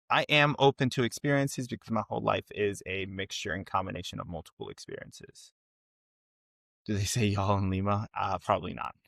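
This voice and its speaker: A young man speaks informally to his online audience in a fairly low voice. The sound is dry, with little room around it. He addresses viewers directly and checks in often, like a content creator hosting his own livestream. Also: creaky, fairly bright tone, no echo. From Ecuador and North Carolina. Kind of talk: casual livestream monologue to camera.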